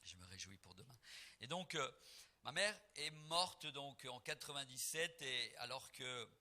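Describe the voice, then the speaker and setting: Emphatic preaching man, very bright voice. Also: medium pitch, lively intonation, measured pace. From Portugal. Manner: emphatic, rising to near-singing on key phrases; soft and reflective when telling family memories. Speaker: gospel singer and preacher. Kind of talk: preaching between songs at a concert.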